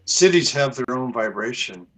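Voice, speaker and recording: A man's voice: medium pitch, expressive, normal speed, rough recording. A man as a guest in a casual spiritual conversation on a video call, talking earnestly and hesitantly with fillers.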